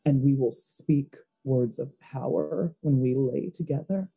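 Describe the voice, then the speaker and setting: A woman's voice: rumbling low, unhurried, vocal fry, dark tone, breathy edge. A woman playing a tabletop roleplaying game, improvising her character's scenes and chatting casually at the table.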